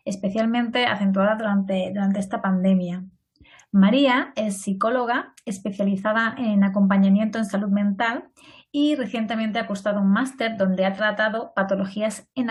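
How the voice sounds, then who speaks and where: Woman, podcast host, conversational, frequent tag questions. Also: medium pitch. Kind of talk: podcast interview.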